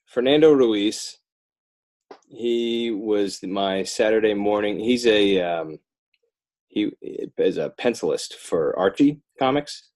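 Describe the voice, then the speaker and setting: Deep-voiced American man, halting. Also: darkish tone. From northern New Jersey, United States. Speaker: interview guest, children's book author and illustrator. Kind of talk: casual interview talk.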